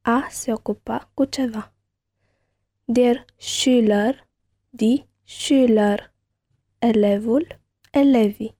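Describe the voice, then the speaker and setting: A woman reading a bilingual language-lesson dialogue and word list with careful, deliberate enunciation. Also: fairly high pitch, fairly even intonation, dark tone.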